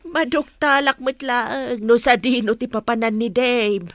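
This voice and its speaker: High-pitched nagging Filipino mother. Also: measured pace, dry recording, clean studio sound. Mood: suspicious. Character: suspicious, nagging older mother of grown son. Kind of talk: Ilocano radio drama acting.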